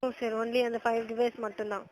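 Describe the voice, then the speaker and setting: High-voiced polite woman in customer support. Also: level tone, brisk, a little mellow, low-quality recording. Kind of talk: phone customer service talk.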